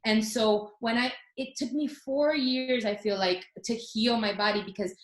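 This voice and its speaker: A woman's voice: on the high side, fairly even intonation, measured pace, echoey room, rough recording. A woman in her forties telling her story as a guest in a casual interview, chatty, with many fillers and restarts. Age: 40s.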